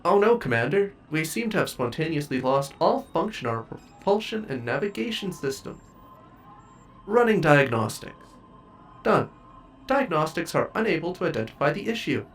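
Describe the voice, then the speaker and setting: A man's voice: a little high, very animated, moderate pace, recorded from a distance, a little hiss or hum. A man playing an obsessive, possessive ship AI in a scripted ASMR roleplay, speaking in formal, precise, coldly polite phrases. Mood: feigned alarm. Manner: formal, precise, clinical wording. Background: music.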